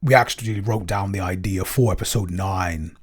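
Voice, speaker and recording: A man chatting casually as a podcast writer in a Q&A, speaking in a halting, hesitant way with stammered word starts. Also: deep voice. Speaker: podcast writer and co-creator answering listener questions. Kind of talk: casual Q&A chat.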